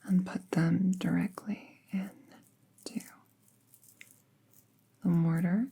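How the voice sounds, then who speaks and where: A woman playing a friendly apprentice witch in a roleplay audio, speaking softly and breathily, often near a whisper, with an unhurried, casual murmur. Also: lowish voice, fairly even intonation, vocal fry, slightly rough, full character voice. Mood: focused, calm. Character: friendly young apprentice witch, soft-spoken and casually chatty. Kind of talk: roleplay audio drama, soft ASMR-like delivery.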